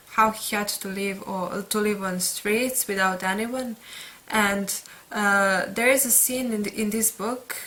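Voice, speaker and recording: A young woman with a Serbian accent, a book vlogger talking casually through a tag video, unhurried and pausing often with fillers. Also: medium pitch, bright, forceful tone. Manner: unhurried, pauses with many "uh" fillers.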